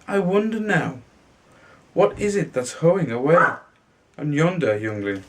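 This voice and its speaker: A man's voice: fairly high pitch, very animated, unhurried, background noise. A man reading a storybook, voicing a curious young brother in a fairy tale who muses and wonders. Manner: musing, wondering.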